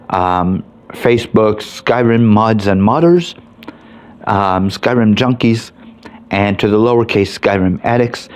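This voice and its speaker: A man's voice: lowish voice, a little mellow. A man hosting a casual, unscripted game mod review, informal and thinking aloud as he talks.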